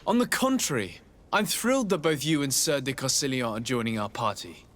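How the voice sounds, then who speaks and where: An actor playing a polite, composed young nobleman in a video game, formal and measured. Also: mid-range voice, lively intonation, a bit bright, natural voice. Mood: friendly. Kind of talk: video game character acting.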